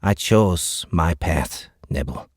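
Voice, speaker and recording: A man voicing a grave, weary alien soldier who speaks softly and solemnly in an audiobook. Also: low-pitched, expressive, takes it slowly, dry recording, studio quality, exaggerated voice. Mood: grave, resigned. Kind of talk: audiobook character voice.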